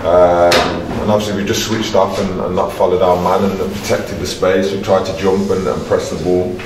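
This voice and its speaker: A man's voice: low-pitched, flat delivery, moderate pace, noisy background. A middle-aged man, speaking casually and colloquially as a football manager in a post-match interview, often repeating his phrases. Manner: colloquial, repeats phrases, addresses listener as mate. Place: indoor stadium corridor with bare block walls. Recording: roomy, distant mic, crowd chatter behind.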